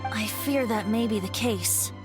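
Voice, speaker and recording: A woman's voice: mid-range voice, a little slow, natural voice. A woman voicing a playful, musing young bard in a video game, teasing and thoughtful. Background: faint background music.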